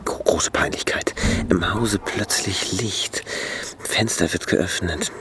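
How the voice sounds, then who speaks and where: An actor playing a nosy small-town reporter who dictates terse, often whispered notes in a radio play. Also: male voice, deep voice, takes it slowly. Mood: secretive. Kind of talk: radio drama acting. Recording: radio play with loud music.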